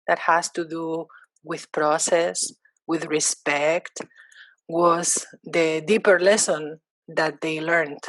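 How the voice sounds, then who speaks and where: A woman's voice: fairly low pitch, fairly bright tone, no room sound. Thoughtful woman. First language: Spanish. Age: middle-aged. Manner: thoughtful, frequent ums and restarts. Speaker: university Spanish lecturer. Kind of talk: reflective interview talk.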